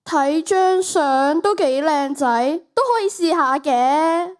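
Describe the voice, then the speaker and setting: A woman's voice: high-pitched, expressive, takes it slowly. A teenage girl with a Hong Kong Cantonese accent playing an insecure, lovesick, eager-to-please young woman in a student radio drama. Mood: shy, eager.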